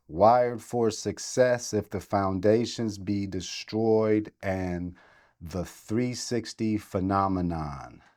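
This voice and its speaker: A man's voice: lowish voice, darkish tone. A man hosting an interview, introducing his guest and asking questions. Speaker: interview host.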